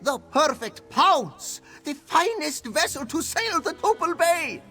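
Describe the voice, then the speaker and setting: A man's voice: very high-pitched, big pitch swings, takes it slowly, sharp tone, soft background music, heavily acted. A man playing a theatrical, roguish cat-folk smuggler captain in a fantasy game, speaking melodramatically of himself in the third person. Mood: melodramatic grief. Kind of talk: fantasy video game dialogue.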